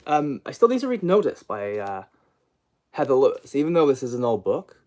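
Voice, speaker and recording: A man's voice: medium pitch, very animated, a little fast, darkish tone. A man hosting an unscripted book livestream, chatting casually in a rambling, hesitant way and often trailing off mid-thought.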